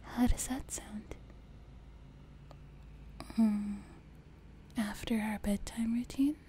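A woman voicing a sweet, teasing, doting young demon girl in a soft, breathy whispered ASMR roleplay, close to a binaural mic. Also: fairly high pitch, fairly even intonation, a little hiss or hum. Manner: gentle, slow, teasing, intimate. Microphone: close binaural microphone.

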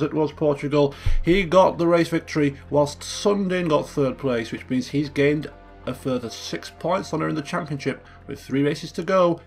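A man hosting a casual gameplay commentary, thinking aloud and correcting himself as he goes, his voice a little croaky from just waking up. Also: fairly high pitch. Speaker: let's play host.

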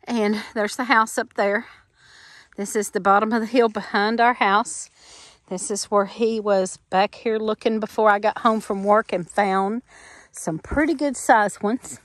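A woman's voice: mid-range voice, steady tone, a little slow, no room sound. A middle-aged woman, chatting folksily while walking the woods of her farm, filming as she goes. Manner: chatty, folksy, laughs easily, many fillers. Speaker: homestead vlogger. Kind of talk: casual walk-and-talk narration. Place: outdoors in the woods behind a farmhouse. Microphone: handheld camera while walking.

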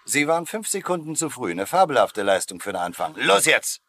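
Lively, sharp-voiced wry colonel. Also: male voice, mid-range voice, brisk. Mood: menacing, wry. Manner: sardonic, authoritative. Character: wry, commanding American colonel, cool-headed resistance leader. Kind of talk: dubbed TV acting.

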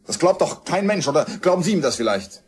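An actor playing a hot-tempered, indignant murder suspect in a crime radio play, speaking aggressively. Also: male voice, fairly high pitch, very animated, quick pace. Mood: outraged. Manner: aggressive, outraged.

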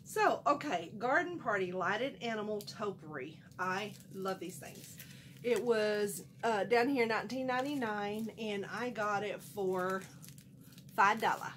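A middle-aged woman with a twang, slightly nasal from a cold, rambles happily about her bargains and loses her train of thought. She sounds like she is talking to a camera in a small room at home. A steady background hiss runs under her voice. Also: mid-range voice, a little slow. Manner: folksy, animated, chatty, wanders off mid-sentence. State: has a cold, a little nasal. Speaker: haul vlogger. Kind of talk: casual chatty haul vlog.

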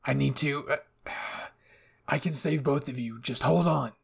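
A man voicing a gruff, hot-tempered young hero in an anime roleplay, brusque, profane and urgent. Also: mid-range voice, measured pace, exaggerated voice. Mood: panicked, worried. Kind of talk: anime ASMR roleplay acting.

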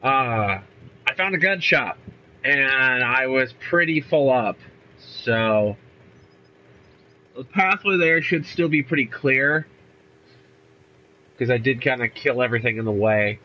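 A man's voice: medium pitch, expressive, slow, long pauses, sharp tone, poor audio. A young man with a congested voice from a cold, chatting casually and rambling through a let's play from a room at home. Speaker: gaming YouTuber.

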